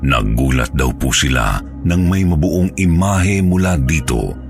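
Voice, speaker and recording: A man's voice: very deep voice, expressive, a little slow, faint music behind. A man narrating a scripted horror story as its storyteller.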